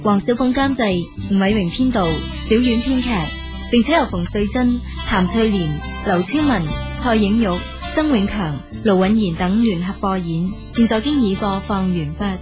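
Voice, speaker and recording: A woman's voice: medium pitch, normal speed, over music. A woman announcer reading scripted radio drama credits. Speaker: programme announcer.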